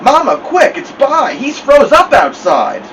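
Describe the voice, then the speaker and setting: A man voicing an excitable, urgent young boy in a story reading. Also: a little high, moderate pace, far from the mic, a little hiss or hum. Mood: frantic.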